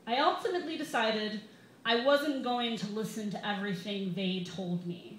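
A woman in her twenties with an American accent, reading a comedic personal essay live with wry, deliberate timing at a bar microphone. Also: mid-range voice. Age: 20s. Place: small bar reading series, indoors. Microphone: microphone on a stand, held close. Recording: roomy live bar, some background noise.

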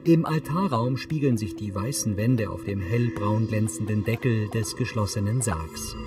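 A man reading scripted audio description in a measured, matter-of-fact manner. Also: lowish voice, music in the background. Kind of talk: scripted narration.

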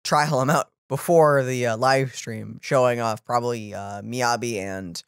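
A man's voice: mid-range voice, steady tone, a little slow, clean tone, dry recording. A man in his twenties co-hosting a reaction video, chatting casually and sometimes searching for his words, close to the microphone. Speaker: reaction channel co-host. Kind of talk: casual chat. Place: small home room, indoors. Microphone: boom-arm studio microphone, close.

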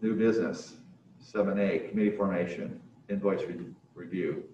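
A man's voice: deep voice, fairly even intonation, normal speed, mellow, a little hiss or hum. A man chairing a board meeting, formally reading out agenda items, picked up by a distant mic in an echoey, muffled room. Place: indoor council chamber. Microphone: distant room microphone on a video call.

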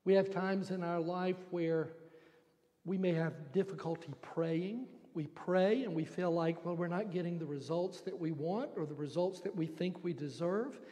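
An older man, a pastor, giving a gentle, folksy children's sermon from the front of a church sanctuary on a handheld mic. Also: sits high, normal speed. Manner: gentle, folksy storytelling with homely examples. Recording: long church reverb.

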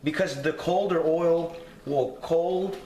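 A man's voice: on the high side, takes it slowly, mellow, roomy sound, slight background noise. A young man filming himself cooking in a home kitchen, explaining casually and swearing with cocky confidence. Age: young adult. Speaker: home cook vlogger. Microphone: handheld camera nearby.

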